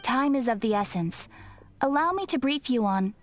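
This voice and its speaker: An actress playing a polite young guild receptionist in a video game, explaining things in a courteous, formal and measured manner. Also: female voice, fairly high pitch, animated, natural voice. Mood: polite, instructive. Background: game music.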